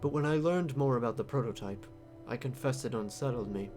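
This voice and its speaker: A man's voice: medium pitch, a little fast, music in the background, close to an everyday voice. A man voicing a scheming, guarded insider in a visual novel game, casual and pressing as he bargains. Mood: measured, explanatory. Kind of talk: visual novel character read-aloud.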